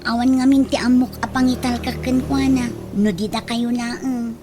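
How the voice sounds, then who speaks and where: A woman's voice: a little high, level tone, normal speed, mellow, soft background music, natural voice. An actress playing an earnest, determined young mother in a radio drama, pleading with a Filipino accent.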